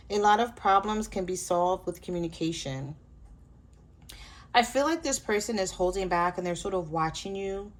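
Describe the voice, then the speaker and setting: A woman with a hoarse voice giving a chatty tarot reading straight to her viewers. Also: fairly low pitch. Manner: relaxed, chatty, addresses viewers directly. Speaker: tarot reader.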